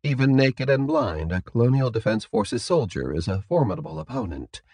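A male narrator plays a polite captor in an audiobook. The delivery is measured and formal, detached yet courteous, with businesslike calm. The sound is close and dry. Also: mid-range voice, breathy edge, no echo, pitched above the performer's own voice. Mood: calm, matter-of-fact. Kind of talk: audiobook character dialogue.

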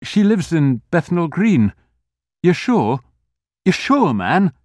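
A man's voice: a little high, sing-song, slow, warm tone, husky, no room sound, clean studio sound, voice pushed up, full character voice. A man voicing a shaken wartime man, raw and desperate, gasping out urgent words.

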